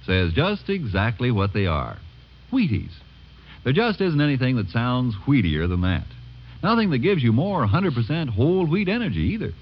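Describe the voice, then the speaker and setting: A man delivering folksy, upbeat sales patter as a 1950s radio commercial announcer. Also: mid-range voice. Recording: muffled vintage radio recording.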